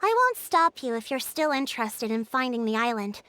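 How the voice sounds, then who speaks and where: A woman playing a courteous, earnest woman in a video game, speaking politely and formally with gentle encouragement. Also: on the high side, big pitch swings, normal speed, no room sound.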